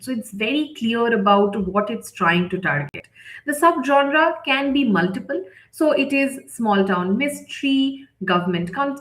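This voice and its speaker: Female instructor, higher register, explanatory live-session delivery with check-ins, recorded with strong room echo. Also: a bit bright. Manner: explanatory, often checking in with "right?". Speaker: editor leading a writing session. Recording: roomy, echoing sound.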